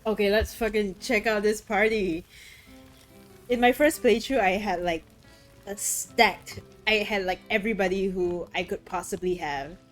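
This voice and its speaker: A woman streaming a video game and chatting casually, excitable and swearing freely, her throat a little rough today. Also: mid-range voice, a little slow, soft background music. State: throat a bit rough, voice deeper than usual. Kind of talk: casual chat while gaming.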